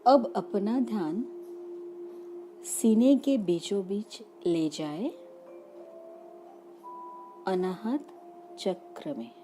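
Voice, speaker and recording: A woman leading a guided meditation in soothing short phrases with long pauses between them. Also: medium pitch, mellow. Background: background music.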